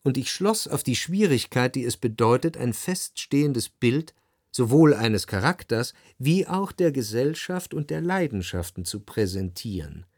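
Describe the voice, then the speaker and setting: Period radio play narrator: analytical, measured, mid-pitched, in a very dry studio sound. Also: male voice, very animated, a little mellow, breathy edge, no room sound, full character voice. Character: reflective, analytical literary narrator of Parisian society. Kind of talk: scripted literary narration.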